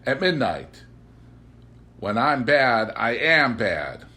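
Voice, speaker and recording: A man's voice: mid-range voice, sing-song, slow pace, bright, forceful tone, heavily acted. A man acts out a precocious young boy from a storybook, sweet but brazen. The voice is lifted above the reader's usual talk and swings proudly, with emphatic, declamatory phrasing. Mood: gleefully confessing.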